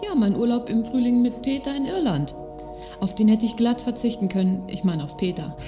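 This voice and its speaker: A woman's voice: a little high, lively intonation, a little fast, over music, natural voice. A woman acting a worldly, disillusioned affluent lady in a satirical radio play, speaking drily and articulately. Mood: wry, analytical.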